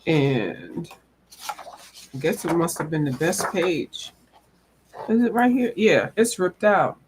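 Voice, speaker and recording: Low-voiced woman, noisy recording. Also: animated, slow. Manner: folksy, conversational, rambling. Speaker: coloring channel host. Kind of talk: casual chat to viewers about coloring books.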